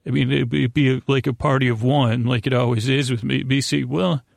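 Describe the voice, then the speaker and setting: Creaky-voiced man, meandering and silly. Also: medium pitch, fairly even intonation, no room sound, studio quality. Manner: meandering, halting, trailing off and circling back; gently silly, whimsical. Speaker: podcast host. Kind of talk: rambling bedtime monologue to aid sleep.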